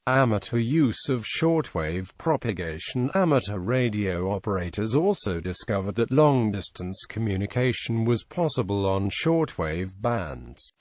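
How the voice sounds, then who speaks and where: A man narrating scripted encyclopedic material in a formal, measured reading manner. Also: medium pitch, darkish tone, dry recording.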